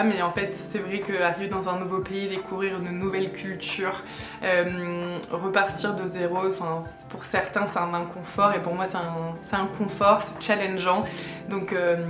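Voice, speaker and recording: A woman's voice: mid-range voice, echoey room. A woman in her late twenties from France, chatting casually to camera with lots of hesitations and fillers. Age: 20s. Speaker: vlogger. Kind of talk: casual vlog chat.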